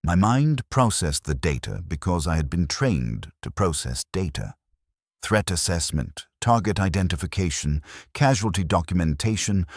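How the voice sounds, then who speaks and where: A man giving a solemn, measured, scripted first-person narration of a dramatic story. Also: low voice, dry recording, studio quality.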